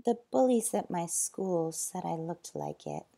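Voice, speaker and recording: A woman voicing a shy young boy, speaking up in a high, earnest voice. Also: huge pitch swings, a little slow, gravelly, warm tone, full character voice. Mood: wistful.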